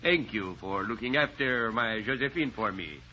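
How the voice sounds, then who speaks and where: A man's voice: mid-range voice, lively intonation, sharp tone. A man acting a menacing bandit leader in an old radio drama, speaking with formal, mocking courtesy. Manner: formal, mock-courteous, deliberate. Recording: dull, noisy old radio recording.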